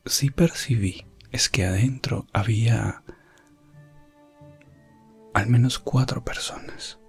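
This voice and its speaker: A man narrating a medieval ASMR roleplay as an elf prince explorer, in a hushed voice that slips in and out of whispering. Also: fairly low pitch, slightly rough. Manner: hushed, drifting between soft voice and whisper. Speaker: ASMR storyteller.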